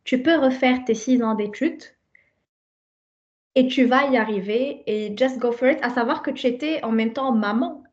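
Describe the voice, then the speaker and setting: A woman hosting a conversational interview, building her questions deliberately in short phrases, on slightly muffled audio. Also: on the high side, a little mellow. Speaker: podcast host.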